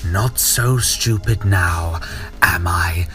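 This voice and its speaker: A man playing a sadistic, campy alien villain in an audio drama, with theatrical, mocking, drawn-out delivery. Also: deep voice, bright, forceful tone, faint music behind, full character voice. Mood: gloating.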